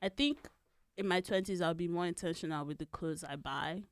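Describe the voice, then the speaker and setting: A woman's voice: lowish voice, brisk, smooth, dry recording. A woman in her twenties, co-hosting a podcast in casual chat, sometimes slipping into a girlish baby voice and laughing often. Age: 20s. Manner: chatty, laughs often, trails off and restarts. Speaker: podcast co-host.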